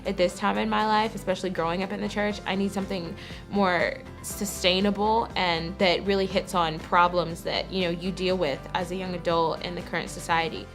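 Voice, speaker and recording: A nineteen-year-old woman speaks sincerely in a slightly low voice, her phrasing casual and unforced. Clear music plays beneath her words. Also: level tone, a little fast. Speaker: church young adults group member. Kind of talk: testimonial interview. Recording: music bed underneath.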